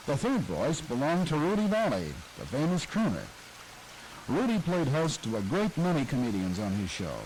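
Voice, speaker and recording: A man smoothly narrates the history of old-time radio in a scripted, informative way. His voice sits a little high and moves with animated lift. A faint cassette hiss runs under it. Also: moderate pace, low-quality recording.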